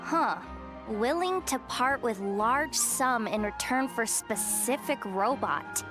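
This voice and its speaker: A woman's voice: fairly high pitch, lively intonation, takes it slowly. A woman playing a level-headed, pragmatic young adventurer in a video game. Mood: measured reading. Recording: game music behind.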